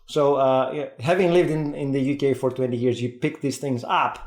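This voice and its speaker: A man from Greece with a Greek and Scottish accent, talking fast and eagerly as a podcast guest, often restarting his sentences. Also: mid-range voice, fairly even intonation, darkish tone, echoey room. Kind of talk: casual podcast conversation.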